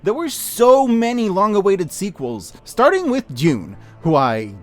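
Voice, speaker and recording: A man narrating a scripted, opinionated review in an emphatic, conversational way with deliberate dramatic stresses. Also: high voice, very animated, soft background music. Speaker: host of a year-end media review.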